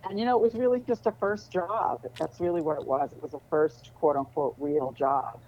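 A middle-aged woman from New City, a lawyer chatting casually as an interview guest, relaxed with many ums and laughs. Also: low voice, normal speed, dull audio. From New York City.

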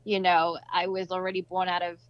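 A woman's voice: lowish voice, completely flat delivery, fairly quick, smooth, muffled recording. A woman raised in Southeast Asia and Australia, answering interview questions in an upbeat, chatty way. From Malaysia, raised in Indonesia, Australia and Singapore. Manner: upbeat, chatty, with playful asides. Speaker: guest, nonprofit founder. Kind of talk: casual conversational interview answers.

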